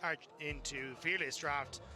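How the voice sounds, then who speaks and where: A man's voice: on the high side, fairly even intonation, unhurried. A man giving analytical color commentary on an esports match, thinking through options aloud. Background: music.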